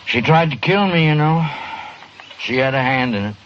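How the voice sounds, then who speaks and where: An actor playing a kindly, forgiving old rancher in a radio western, plain-spoken and folksy with a rural American accent. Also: male voice, mid-range voice, lively intonation, normal speed, natural voice. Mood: troubled. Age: older. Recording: muffled, hissy old radio recording.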